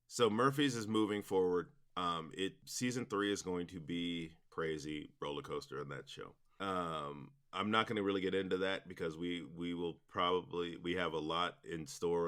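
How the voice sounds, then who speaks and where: Man, very low pitch, informal interview guest, rambling, enthusiastic. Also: fairly bright tone, clear, resonant tone. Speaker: interview guest, audio drama producer. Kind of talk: casual interview talk.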